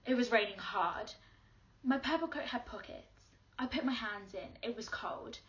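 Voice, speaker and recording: An actress playing a lonely schoolgirl caught in the rain, speaking quietly and reflectively in a dramatic monologue. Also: fairly high pitch, lively intonation, moderate pace, lo-fi sound, close to an everyday voice. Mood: wistful. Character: soaked lonely schoolgirl in purple coat.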